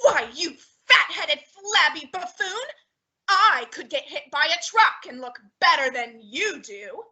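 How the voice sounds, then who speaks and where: A woman's voice: sits high, expressive, unhurried, sharp tone, heavily acted. A woman playing an indignant married battle-axe in an old-time radio comedy, scolding shrilly with exclamations and insults.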